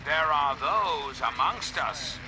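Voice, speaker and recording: An actor playing a folksy, grandiose president giving a theatrical, paternal propaganda address on the radio in a video game. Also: male voice, on the high side, expressive, takes it slowly, low-quality recording. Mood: stern, preachy. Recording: radio broadcast under game sound.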